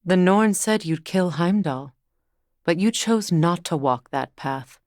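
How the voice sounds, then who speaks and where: An actress playing a solemn, regal Norse goddess warrior in a video game, speaking in a measured, grave, formal manner. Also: female voice, fairly low pitch, expressive, darkish tone, no room sound, studio quality. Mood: solemn, reflective. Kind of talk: dramatic video game voice acting.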